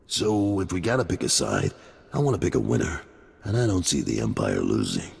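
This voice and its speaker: A gruff gangster boss with a very deep, gravelly, raspy voice. He talks bluntly, with lively pitch swings, and long reverb trails after him. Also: brisk, full character voice.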